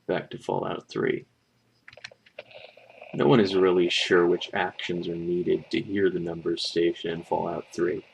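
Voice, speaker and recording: Deep, creaky-voiced man narrating horror. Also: slight background noise, rough recording. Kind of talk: scripted creepypasta narration.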